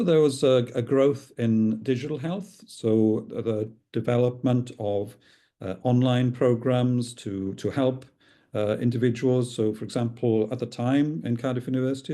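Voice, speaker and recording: Halting, mellow-voiced man. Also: mid-range voice. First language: Welsh. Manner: halting, with many fillers and restarts. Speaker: clinical researcher. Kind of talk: recorded talk.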